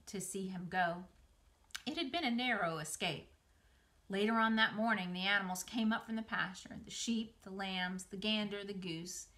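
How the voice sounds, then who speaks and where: A middle-aged woman narrates a children's book like an educator reading aloud. Her voice is bright and her delivery expressive and dramatized, recorded in a small room. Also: mid-range voice. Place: small room by a window, indoors.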